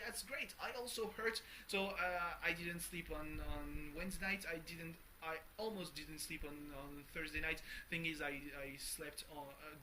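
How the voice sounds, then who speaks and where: Rambling young man with a bright voice. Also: high voice, a little hiss or hum, rough recording. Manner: rambling, many restarts and fillers, swears casually. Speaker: vlogger. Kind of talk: casual personal video diary.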